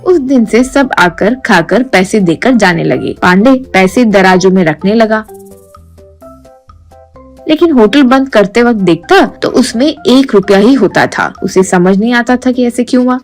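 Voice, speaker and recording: A woman reading scripted narration for a simple moral tale, as a storyteller. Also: medium pitch.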